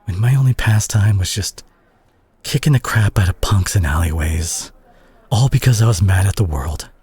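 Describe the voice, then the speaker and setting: A man playing a protective, guilt-ridden brawler superhero in an intimate audio drama, speaking earnestly with a husky, breathy voice. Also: lowish voice, a little mellow. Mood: impassioned, reproachful. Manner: earnest, emotional, halting delivery with sighs. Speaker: audio roleplay voice actor.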